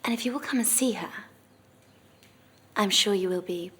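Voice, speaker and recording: A woman playing a scheming older aunt and wheedling go-between in a dramatized memoir, with a husky, breathy voice. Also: medium pitch, normal speed, a bit bright. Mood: wheedling, coaxing.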